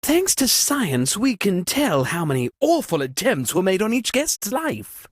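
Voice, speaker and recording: A woman's voice: mid-range voice, very animated, no room sound, clean studio sound. A woman voicing a theatrical, pompous aristocratic party host for a murder-mystery game, with sardonic flair. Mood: dry, sardonic. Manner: theatrical, pompous, drawn-out delivery. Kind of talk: dramatic game voice lines.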